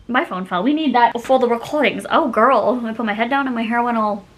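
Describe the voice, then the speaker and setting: A woman's voice: a little high, brisk, noisy background. A young woman telling a casual story to camera in a chatty, dramatic, giggly manner full of tangents. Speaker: YouTube storytime vlogger.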